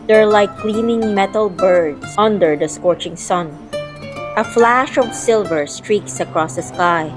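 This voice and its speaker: A woman's voice: mid-range voice, lightly acted. A young woman playing a spirited, teasing childhood friend in an audio drama, telling the story in her own words and acting out playful banter. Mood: calm narrating. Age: young adult. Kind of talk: audio drama narration and dialogue. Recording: music playing behind the voice.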